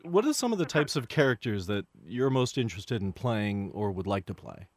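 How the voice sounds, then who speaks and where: Lively male interviewer. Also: on the low side, a little fast, no echo. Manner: lively, inquisitive questioning. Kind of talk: radio interview.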